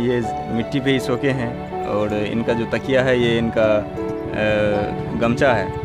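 A man, reporting for a documentary from a village into a handheld microphone. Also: mid-range voice. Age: 20s. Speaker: field reporter. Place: outdoors and in open huts in a village. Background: music.

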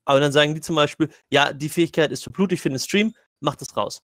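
A man's voice: on the high side, fast talker, fairly bright tone, dry recording, studio quality. A man in a casual gaming debate, arguing insistently and often stumbling over repeated words. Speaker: podcast panelist.

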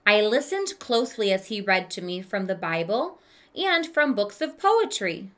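A woman's voice: mid-range voice, sing-song, normal speed, fairly bright tone. A woman in her thirties reading a children's storybook aloud expressively, adding asides and questions for young listeners. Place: small room with bookshelf, indoors.

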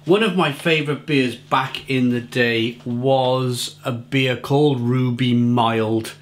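A man's voice: medium pitch, fairly even intonation, slow. A man in his forties from England, reviewing a beer to camera in his home kitchen, chatty and often repeating words as he searches for them.

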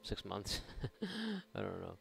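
A man's voice: sits low, completely flat delivery, slow, gravelly, fairly bright tone, slight background noise. A man giving casual, sarcastic, often profane commentary while playing a video game. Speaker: gaming video host. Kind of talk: casual let's play commentary.

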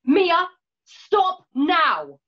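An actress plays a commanding woman trainer in a radio drama. Her voice is high and measured, stern yet caring. The recording sounds dull, like a video call. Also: big pitch swings, heavily acted. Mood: stern, warning.

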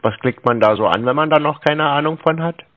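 A man's voice: a little high, fairly quick, no room sound. A man on a phone call, speaking as a pedantic, questioning customer who plays clueless. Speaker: scam-baiter posing as a customer on a call. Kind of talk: phone conversation. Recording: muffled narrow phone-like sound, dry.

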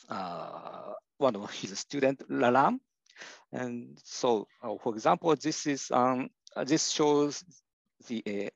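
Older male lecturer, Japanese accent, gravelly, halting delivery full of fillers; dry lo-fi sound. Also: medium pitch, no room sound. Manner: hesitant, many "uh" fillers and restarts. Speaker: university professor giving a lecture. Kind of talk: slide lecture. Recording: dull lo-fi dry recording.

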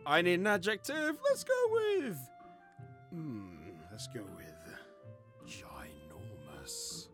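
An excitable game host running a word game in casual banter with friends. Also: medium pitch, slow, faint music behind. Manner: excitable, exclaiming.

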